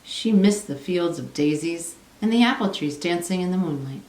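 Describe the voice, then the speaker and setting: A woman's voice: on the low side, animated, moderate pace, darkish tone. A woman reading a children's storybook aloud as narrator. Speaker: storybook reader. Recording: echoey room, distant from mic.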